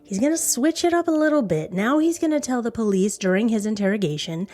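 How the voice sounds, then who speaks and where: A woman's voice: on the high side, expressive, fast, dark tone. A woman hosting a true crime podcast, telling the story in a conversational, informal way and recapping key points. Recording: background music under voice. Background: music.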